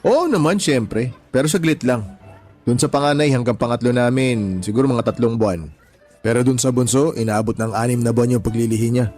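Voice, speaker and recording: A man plays an easygoing family man in a radio drama. He speaks at a middle pitch, chatty and colloquial, soothing and advising his pal. Soft music runs behind him. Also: a little fast, natural voice. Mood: chatty storytelling. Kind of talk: Tagalog radio drama acting.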